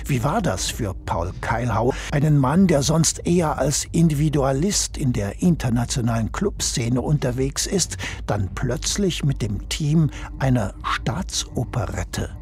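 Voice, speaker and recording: A man reading a scripted radio report on a ballet premiere in a measured, formal way. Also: mid-range voice, lively intonation, a little mellow.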